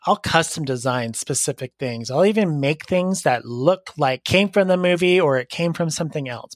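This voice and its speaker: A podcast host with a raspy voice, telling a heartfelt story and giving advice in a casual, rambling way. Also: medium pitch, dry recording, studio quality. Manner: earnest, heartfelt, rambling with fillers. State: raspy voice today.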